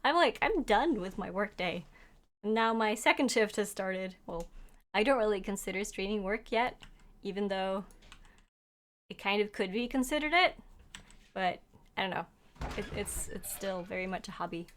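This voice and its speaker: A woman's voice: medium pitch, moderate pace, pauses often, fairly bright tone. A young woman who speaks Norwegian as her first language chats casually with viewers while playing, reading their messages aloud and laughing often. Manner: chatty and giggly, laughs often; talks to chat while playing. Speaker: game streamer.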